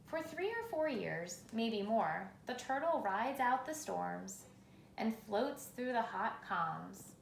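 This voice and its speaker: A woman reading a children's picture book aloud in a measured, expressive storytelling manner, with pauses for effect. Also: a little high, creaky. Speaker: reader. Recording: roomy echo, faint background noise.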